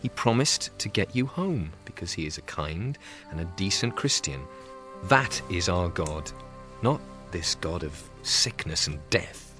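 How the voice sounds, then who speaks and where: An actor playing a compassionate English sea captain in a radio drama, sincere and pleading. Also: male voice, sits low, big pitch swings, measured pace, music in the background. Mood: sincere, apologetic.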